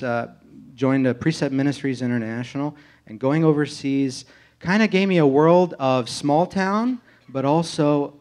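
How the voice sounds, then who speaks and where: An American man speaking as a pastor candidate in an informal testimony to a congregation, earnest and halting in his delivery. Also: mid-range voice, darkish tone. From United States, grew up in Tennessee. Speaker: pastor candidate being interviewed in church.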